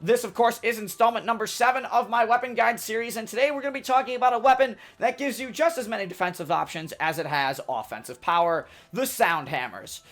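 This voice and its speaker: A young man with a loud, energetic delivery, reading a scripted tutorial as a gaming YouTuber. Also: high voice, fairly quick, hardly any pauses. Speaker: gaming YouTuber giving a weapon guide. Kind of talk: scripted game tutorial narration.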